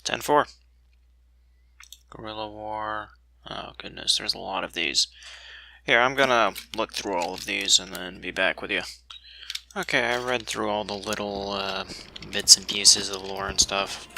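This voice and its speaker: A man's voice: on the low side, steady tone, measured pace, creaky, pauses often, bright, forceful tone, a little hiss or hum, poor audio. A man giving casual, unscripted commentary as he plays a video game, with a skeptical, dry way of talking. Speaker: let's play commentator.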